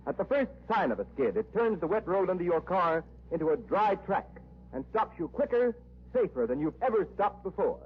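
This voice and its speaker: A man's voice: high voice, very animated, normal speed, breathy edge. A man announcing in emphatic, dramatic 1930s radio style, as for adverts and programme introductions. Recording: tinny old radio recording, faint noise.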